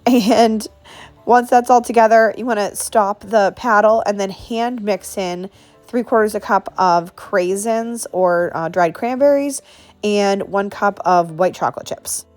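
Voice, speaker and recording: A woman's voice: medium pitch, measured pace, a little mellow, faint music behind. A woman in her thirties hosting a home cooking video, talking casually through a recipe with lots of asides, her voice congested from a sinus infection. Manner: chatty, frequent "like" and asides. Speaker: cooking channel host.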